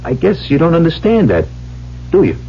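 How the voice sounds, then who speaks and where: A man's voice: a little high, huge pitch swings, moderate pace, slight background noise. A man acting in a 1970s radio drama as an exasperated, uneducated typist, griping and confiding in plain slang. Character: uneducated, exasperated working-stiff male typist, plain-spoken. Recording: muffled old radio broadcast with music.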